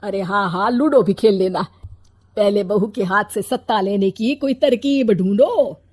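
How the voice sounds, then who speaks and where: A woman's voice: mid-range voice, measured pace, darkish tone, natural voice. A woman playing a bossy, plotting older mother-in-law in a comic family story, dramatic and complaining. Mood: conspiring.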